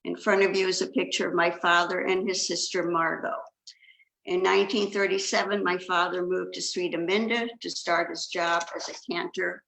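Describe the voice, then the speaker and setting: An older woman, born in China, gives a measured, scripted talk as a second generation Holocaust speaker. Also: lowish voice, vocal fry, fairly bright tone. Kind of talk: prepared talk with slides. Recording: echoey room.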